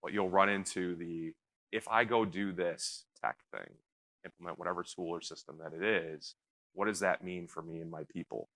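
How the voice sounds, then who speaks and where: A man on a conference panel answering a question in an earnest way, talking in quick bursts and checking in with "right?". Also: low voice, steady tone, fairly bright tone, rough recording. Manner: earnest, fast bursts, repeats points with "right?". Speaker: panelist from a risk and audit team. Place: conference stage.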